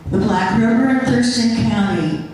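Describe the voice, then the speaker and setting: An American woman giving unscripted testimony at a public hearing as a local resident, deliberate and concerned. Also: a little high, darkish tone. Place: large indoor hearing hall. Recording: very echoey hall.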